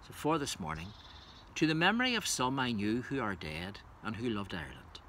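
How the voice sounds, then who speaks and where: A man speaking as a poetry reader, introducing and reciting verse in a measured way. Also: lowish voice, big pitch swings, pushed and bright, a little hiss or hum.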